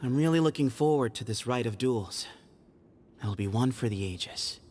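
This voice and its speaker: A man voicing a proud, formal rival from an old temple in a video game, speaking in measured, theatrical lines. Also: mid-range voice, lively intonation, a little mellow, natural voice. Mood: confident, eager.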